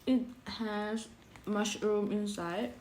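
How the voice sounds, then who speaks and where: A woman's voice: mid-range voice, steady tone, drawn-out words, a little mellow, a little hiss or hum. A woman vlogging casually about food, speaking in simple, hesitant English. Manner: hesitant, simple non-native English phrasing. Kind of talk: casual food vlog to camera.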